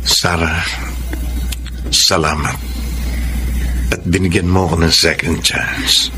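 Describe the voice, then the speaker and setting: An actor playing a devoted, grateful husband and father in a Filipino radio romance, with a Filipino accent and a gentle, earnest, sentimental delivery. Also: low-pitched, level tone, slow, noisy background. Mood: remorseful, tender.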